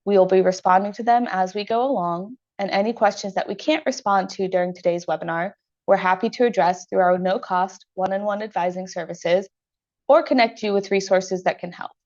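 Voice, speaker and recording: A woman's voice: medium pitch, a little mellow, muffled recording. Businesslike American woman moderating a webinar. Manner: clear, measured, businesslike. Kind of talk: scripted webinar introduction. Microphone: computer mic on a video call.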